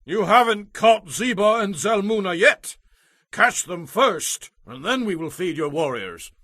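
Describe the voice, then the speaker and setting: An actor playing bold ancient townsmen and kings in a dramatized audio Bible, with forceful, declamatory delivery. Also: male voice, high voice, expressive, unhurried, no room sound, clean studio sound, full character voice. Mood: scornful. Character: defiant ancient men: town elders, captive kings, pleading crowd spokesmen.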